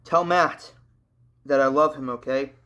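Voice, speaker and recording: A man's voice: mid-range voice, steady tone, a little slow, a bit bright, lightly acted. A man voicing a commanding female angel of justice who wields a sword. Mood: tender.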